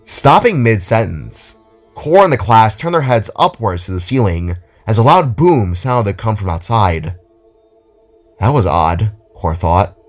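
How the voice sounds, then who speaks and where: A man reading scripted story narration as the narrator of an audio drama. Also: lowish voice.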